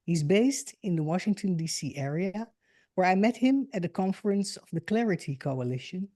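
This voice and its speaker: A woman's voice: fairly low pitch, lively intonation, moderate pace, warm tone. A woman hosting a podcast, politely introducing her guest and asking questions in a formal manner. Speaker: podcast host. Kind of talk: interview hosting.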